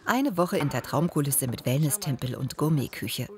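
A woman's voice: deep voice, expressive, normal speed. A woman reading scripted television documentary narration as a voice-over. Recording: background music under the voice-over. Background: music.

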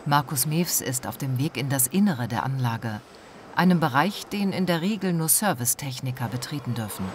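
A man reading scripted documentary narration in a calm, measured way. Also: mid-range voice, background noise.

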